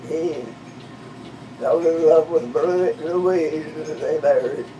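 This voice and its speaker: A man over one hundred years old, originally from western Missouri, talking with a visitor in a slow, halting way and searching for names. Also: fairly high pitch, mellow, dull audio. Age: 100s. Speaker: interviewee, retired minister. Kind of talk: casual conversation. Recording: distant mic with background noise.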